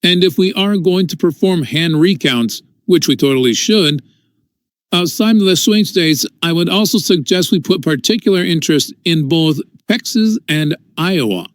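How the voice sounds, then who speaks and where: Man, scripted commentary, earnest and persuasive; fairly high voice, dry sound. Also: expressive, normal speed, no echo.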